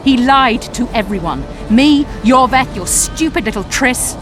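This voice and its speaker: Video game role: scheming sorceress, imperious and contemptuous, theatrical menace, music clearly underneath. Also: female voice, on the high side, animated, a little slow. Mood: bitter, insistent. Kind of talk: dramatic video game acting.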